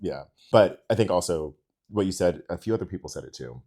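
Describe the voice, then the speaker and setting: A young man from the United States hosts a relaxed podcast conversation. His voice is low and easy. He asks open questions and backs his guest up with short, ready replies. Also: brisk, slightly rough. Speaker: podcast host. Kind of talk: casual interview chat.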